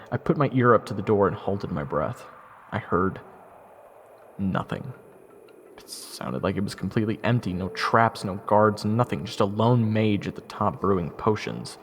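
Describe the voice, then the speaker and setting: A man plays a young soldier telling his own fantasy tale in an audio drama. The voice is fairly low and very animated, eager yet nervous, with a wry, self-deprecating streak. Music plays behind it. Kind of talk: first-person fantasy audio drama narration. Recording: orchestral game music behind.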